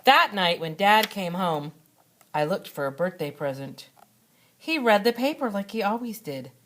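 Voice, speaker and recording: A woman narrates a children's storybook in the manner of a schoolteacher. Her medium-pitched voice moves expressively up and down, with dramatic, deliberate phrasing made for young listeners. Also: sing-song, fairly bright tone. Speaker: second grade teacher reading aloud.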